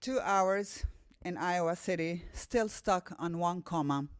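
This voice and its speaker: A woman's voice: fairly low pitch, slow, clear, resonant tone, no room sound, clean studio sound. A woman with an Albanian accent, a native Albanian speaker, giving a public talk and poetry reading as a guest poet. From Kosovo. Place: room with a live audience.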